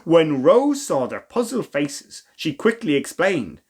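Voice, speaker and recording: High-pitched, animated male storyteller. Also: takes it slowly, slightly rough. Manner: expressive, theatrical. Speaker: children's storyteller.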